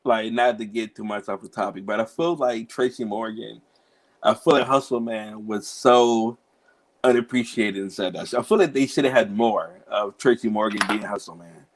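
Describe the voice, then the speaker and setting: A man's voice: fairly low pitch, normal speed, a loud crowd. A man from Cincinnati, an author being interviewed, talking in an easygoing, joking way. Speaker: author guest. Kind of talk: casual podcast interview.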